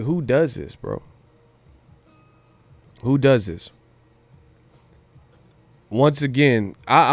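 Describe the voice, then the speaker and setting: A man's voice: mid-range voice, dry recording. A man hosting a boxing news livestream, talking casually in slang with some swearing and repeating phrases for emphasis. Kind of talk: casual live-stream commentary.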